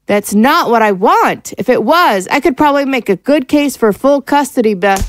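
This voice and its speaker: Firm, high-voiced wife played by a woman. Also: expressive, dry recording, clean studio sound. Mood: insistent. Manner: measured, reasoning, firm. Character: composed, firm wife and mother seeking separation. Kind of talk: screenplay-style audio drama acting.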